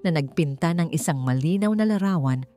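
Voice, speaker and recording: A Filipino woman narrates a dramatic story with measured suspense. Her voice sits a little low for a woman. Soft music plays behind her scripted delivery. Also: lively intonation. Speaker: audiobook narrator. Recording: voice over background music.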